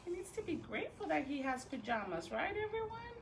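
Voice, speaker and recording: A woman teacher reading a picture book aloud to children in an expressive, deliberate way, with chatty asides to her listeners. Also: high-pitched, noisy background. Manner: animated, deliberate, dramatic phrasing with drawn-out words.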